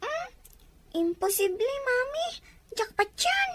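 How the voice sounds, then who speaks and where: A woman's voice: very high-pitched, very animated, takes it slowly, background noise, full character voice. A woman voicing a small girl who misses her father, asking pleading questions. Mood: curious.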